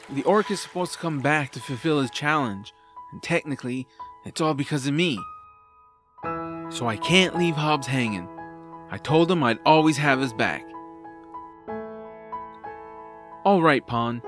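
A man playing an earnest, loyal, eager young adventurer in a family audio drama. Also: a little high. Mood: determined.

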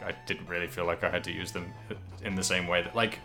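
A man's voice: low voice, little pitch movement, fast talker, music in the background. A man co-hosting a podcast, chatting casually about a video game in a rambling, think-aloud way. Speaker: podcast co-host.

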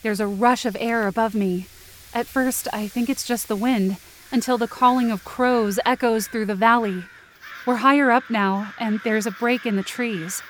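A woman's voice: a little high, steady tone, normal speed, a little hiss or hum, close to an everyday voice, poor audio. A woman playing a guilt-ridden young woman who narrates an eerie mountain trek in a scripted audio drama. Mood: watchful, tense. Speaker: narrating character in fiction podcast.

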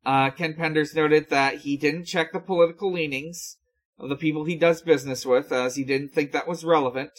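A podcast co-host casually relaying news in a hesitant, halting way. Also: medium pitch, steady tone.

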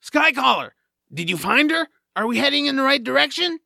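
A grumbling, wry guide who would rather be napping. The voice is high and bright with a very heavy gravelly creak, in a folksy audio drama style. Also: male voice, sing-song, a little fast, no room sound, studio quality, full character voice. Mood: grumbling, explaining. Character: grumpy, nap-loving creature guide, wry and knowing.

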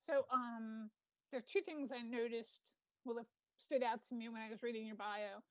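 Female host, New York accent, slightly high voice, loud and outgoing, chatty, dry close sound. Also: steady tone, moderate pace, no room sound. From New York, United States. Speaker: show host. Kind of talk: casual chat.

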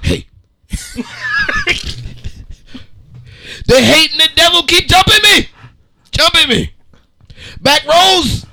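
High-voiced man, slow theatrical mock-dramatic delivery. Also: animated, gravelly, background noise. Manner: theatrical, pausing, slang-heavy and profane. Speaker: podcast co-host. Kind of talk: mock-dramatic reading of rap lyrics.

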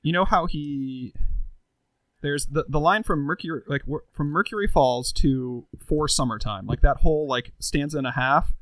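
A man's voice: a little high, moderate pace, fairly bright tone, no echo, poor audio. A man co-hosting a casual podcast, riffing playfully on wordplay. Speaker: podcast co-host.

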